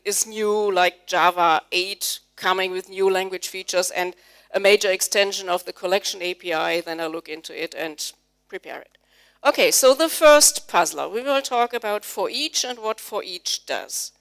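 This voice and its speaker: A woman whose first language is German lectures a conference audience, explaining step by step and stopping to ask the room questions. Her voice is smooth and clear, at a middle pitch for a woman. She sounds middle-aged. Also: fairly bright tone. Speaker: conference speaker, Java trainer. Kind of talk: live technical conference talk. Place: conference hall before an audience.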